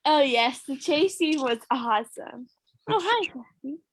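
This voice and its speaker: A woman's voice: high-pitched, lively intonation, a little slow. A woman actor chatting casually on a video call in short, simple, enthusiastic remarks.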